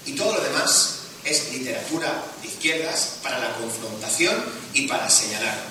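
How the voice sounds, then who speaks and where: A man's voice: mid-range voice, expressive, moderate pace, far from the mic, noisy background. A man speaking as mayor in a council debate, combative and emphatic. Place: council chamber. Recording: echoey council chamber from afar.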